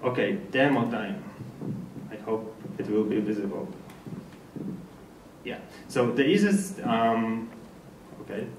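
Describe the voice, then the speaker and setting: A man from Poland with a Polish accent gives an informal technical presentation in slightly halting non-native English. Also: fairly low pitch. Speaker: speaker at a developer meetup. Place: indoor meetup presentation room. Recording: echoey room, distant mic, faint noise.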